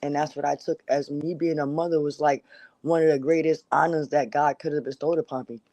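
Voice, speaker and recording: A woman guest on a talk show, telling heartfelt stories about family in a steady way. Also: low voice, a little fast, no echo. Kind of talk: casual talk show chat over phone.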